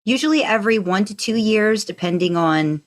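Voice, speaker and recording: A woman's voice: medium pitch, lively intonation, normal speed. An American woman co-hosting a podcast, talking in a casual, conversational way. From United States. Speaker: podcast co-host. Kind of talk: casual fandom podcast chat.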